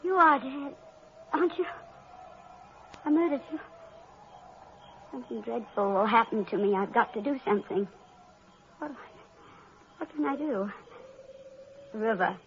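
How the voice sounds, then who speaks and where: An actress playing a jealous, panicked young woman who has killed her sister, speaking frantically and haltingly in an old-time radio drama. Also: fairly high pitch, expressive, slight background noise. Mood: frantic, guilty. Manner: frantic, halting, repeating herself. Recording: dull old radio recording with music.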